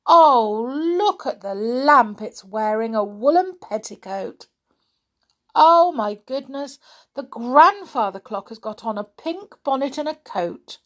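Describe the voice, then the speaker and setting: A woman's voice: high voice, big pitch swings, a little slow, voice pushed up, full character voice. A woman voicing a flustered, scatterbrained young woman who exclaims and stammers breathlessly. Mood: dismayed.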